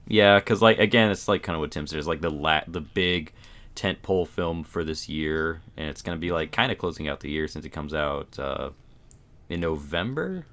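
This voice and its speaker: A man's voice: rumbling low, expressive, normal speed, fairly bright tone. A man talking casually as a panelist on a podcast. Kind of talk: casual chat.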